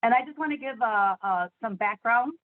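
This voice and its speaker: A woman's voice: fairly high pitch, moderate pace, dry recording. A woman in her forties from Chicago, with Spanish as her first language, talking about herself on an online panel in a chatty way and laughing easily. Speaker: interpreter, panelist. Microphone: video call. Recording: tinny phone-like call audio.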